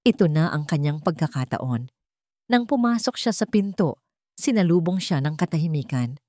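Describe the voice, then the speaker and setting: A Filipino woman reading a scripted dramatic story in a solemn, measured way. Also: sits low, animated, mellow, no room sound, studio quality. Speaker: narrator.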